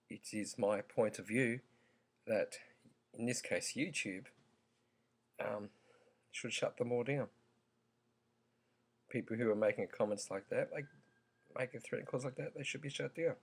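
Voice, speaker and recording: A man with a croaky voice, giving his view in a slow, halting, earnest vlog full of pauses and ums. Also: mid-range voice. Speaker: vlogger. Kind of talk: opinion vlog.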